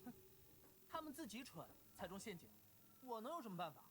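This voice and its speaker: A man playing an arrogant, petulant teenage boy of a noble clan in an animated fantasy drama, sneering and brash. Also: sits high, a little fast, a little hiss or hum.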